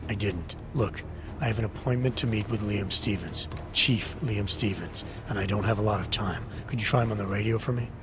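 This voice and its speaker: A man playing a stoic, gruff, wary security agent with a terse, clipped delivery in a video game. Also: lowish voice, a little fast, background noise, natural voice. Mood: impatient. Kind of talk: video game cutscene acting. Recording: music behind the voice.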